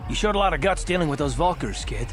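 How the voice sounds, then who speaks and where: A man playing a gruff, observant soldier companion in a video game. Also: fairly high pitch, animated, fast, over music, natural voice. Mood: approving, curious.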